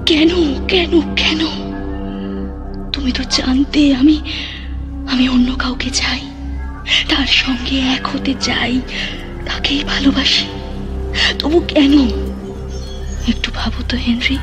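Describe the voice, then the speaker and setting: A woman plays a murdered woman's ghost in an audio drama. Her voice sits high, haunting and full of sorrow and reproach. She laments with theatrical pauses, and music plays clearly behind her. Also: fairly even intonation. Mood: sorrowful, haunting. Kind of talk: audio drama acting in Bengali.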